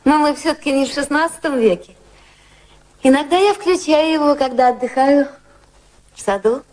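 A woman's voice: sits high, normal speed, a little mellow, lightly acted, low-quality recording. A woman acting in a radio drama, playing an elegant, worldly society lady with a refined, intimate manner. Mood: poised. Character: elegant high-society English lady, poised, worldly, secretly passionate. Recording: radio play with music behind.

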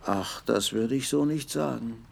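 An actor playing a guarded, politely evasive middle-aged man with a husky, gravelly voice in a radio play. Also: mid-range voice, measured pace. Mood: stiffly courteous. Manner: formal, evasive.

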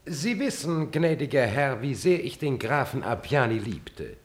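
An actor playing a scheming, coldly insinuating courtier in a classical German radio drama, formal and falsely deferential. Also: male voice, mid-range voice, sing-song, measured pace.

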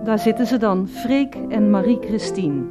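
A woman's voice: mid-range voice, normal speed, over loud music. A woman presenting a radio play live as its director, addressing listeners and actors.

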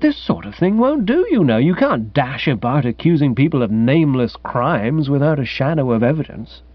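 A man voicing a breezy, excitable young upper-class English gentleman in a comic audiobook, chirpy and indignant, with old-fashioned slang. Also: mid-range voice, moderate pace, no room sound, muffled recording. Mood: breezy indignation. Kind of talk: comic audiobook character acting.

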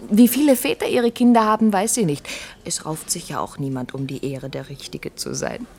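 A woman's voice: fairly low pitch, big pitch swings, moderate pace, dark tone. A woman acting in a German radio drama as a sardonic, jealous doctor and wife who needles with sarcasm. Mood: catty, mocking.

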